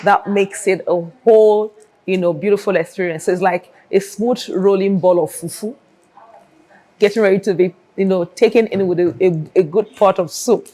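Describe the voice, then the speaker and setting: A woman's voice: mid-range voice, fairly even intonation, moderate pace, mellow, rough recording. A Nigerian-accented woman, an air traffic controller, explaining her work in an interview and repeating phrases for emphasis.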